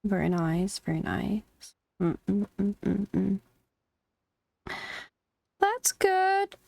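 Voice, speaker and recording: A woman game streamer chats casually at a slow pace. She murmurs little reactions and giggles, recorded dry and close. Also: mid-range voice, big pitch swings, creaky, a little mellow, no room sound, soft background music. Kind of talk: casual livestream chat while playing a game.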